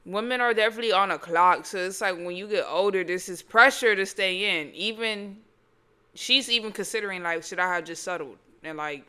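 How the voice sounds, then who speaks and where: Young woman host, early 20s, bright clear tone, slangy casual chatter. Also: mid-range voice, fairly quick. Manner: chatty, slangy, thinks aloud with "like". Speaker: advice show host. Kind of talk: casual chat.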